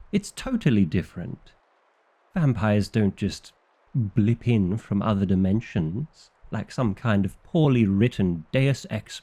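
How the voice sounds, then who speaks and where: A man's voice: lowish voice, mellow, exaggerated voice. A man playing a bookish, exasperated vampire librarian in an ASMR roleplay, wry and theatrical with flustered indignation. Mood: startled, disbelieving.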